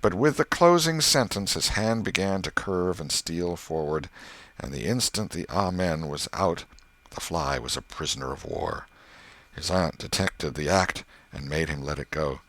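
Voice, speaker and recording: A man reading a literary audiobook as narrator, in an expressive, measured storytelling manner. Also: low voice.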